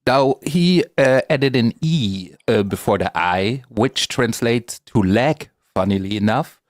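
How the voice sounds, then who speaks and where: A man's voice: medium pitch, lively intonation, takes it slowly, no room sound, studio quality. A man from Europe chatting casually, blunt and teasing, sometimes hesitating over words. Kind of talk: casual chat.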